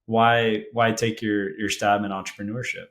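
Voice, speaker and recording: Low-voiced chatty male interviewer. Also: flat delivery, moderate pace, a bit bright. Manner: chatty, friendly, asks leading questions. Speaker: interview host, founding partner. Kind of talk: casual business interview.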